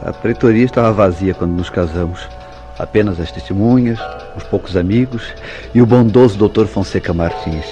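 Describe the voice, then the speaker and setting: A man's voice: lowish voice, moderate pace, muffled recording, over music, lightly acted. A man playing an earnest, brooding newlywed husband in a vintage Brazilian radio soap, with theatrical, formal diction. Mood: probing, uneasy. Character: tender but suspicious, brooding newlywed husband Mauro.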